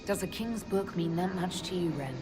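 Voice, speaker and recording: A woman's voice: on the low side, close to an everyday voice. An actress playing a composed, inquisitive female elven mage leader in a video game cutscene. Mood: firm. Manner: measured, inquisitive. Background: game music.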